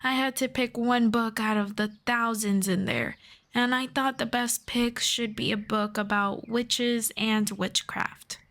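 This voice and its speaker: A woman playing a gentle, earnest elf friend in a soft-spoken, measured ASMR fantasy roleplay. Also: fairly high pitch, fairly even intonation. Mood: calm, confiding. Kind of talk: slow scripted ASMR roleplay.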